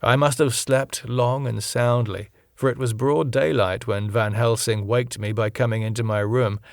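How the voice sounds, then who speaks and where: A man reading a classic novel as an audiobook narrator, in a measured, solemn, literary delivery. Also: medium pitch, fairly even intonation, vocal fry, no room sound, clean studio sound.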